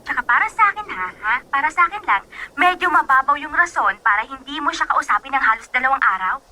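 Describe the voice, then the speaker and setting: A woman acting a teasing, bossy young female friend who gives blunt advice in a Filipino radio drama, with animated scolding banter. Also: high voice, fast talker, exaggerated voice. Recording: prominent music behind voice.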